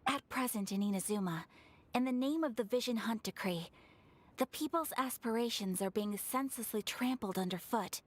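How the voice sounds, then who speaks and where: Actress playing a gracious young noblewoman, breathy, formal speech, slightly high. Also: normal speed, natural voice. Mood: earnest formal. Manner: courteous, formal and earnest.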